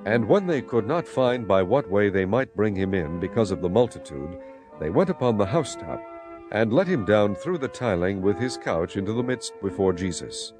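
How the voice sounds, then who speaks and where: A man narrates dramatized scripture in a solemn storytelling manner. His voice sits fairly low with lively swings in intonation, and music plays clearly underneath. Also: moderate pace.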